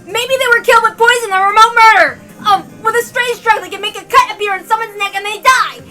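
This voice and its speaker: A woman's voice: extremely high pitch, brisk, sharp tone. A woman voicing a hot-headed, desperate young defender, insistent and exclamatory, in a dramatic visual novel read-aloud. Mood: frantic. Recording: music behind the voice.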